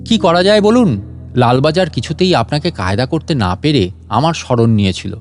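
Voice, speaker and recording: A man voicing a sly gentleman inventor with a mock-courteous, teasing manner in a story reading. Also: mid-range voice, lively intonation, normal speed, soft background music, lightly acted. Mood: smug. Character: sly, mock-polite gentleman inventor who traps thieves.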